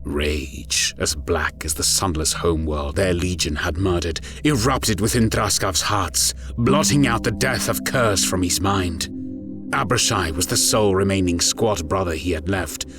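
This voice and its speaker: A man with a very low voice delivers dramatic story narration, grave and deliberate. Prominent music plays behind him throughout.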